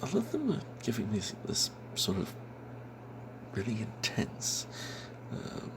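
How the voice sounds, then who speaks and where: A man telling a fantasy tabletop tale as whispered ASMR, in a breathy, halting way. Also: lowish voice, wildly swooping pitch, noisy background.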